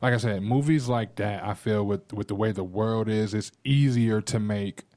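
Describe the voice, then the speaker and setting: A man's voice: fairly low pitch, moderate pace, a little mellow. A man talking casually as a podcast panellist, colloquial and opinionated. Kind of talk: casual conversation.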